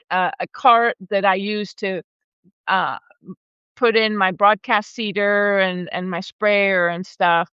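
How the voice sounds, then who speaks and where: A woman in her forties with a bright, crisp voice tells her story in a chatty way and laughs often. She grew up in Argentina and Brazil and speaks on a live video call. The sound is dry and a little dull. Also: mid-range voice, no room sound. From born in Argentina, raised in Brazil. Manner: warm, chatty, laughs often, frequent restarts. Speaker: regenerative rancher and holistic management educator. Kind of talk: casual conversational storytelling. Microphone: video call microphone.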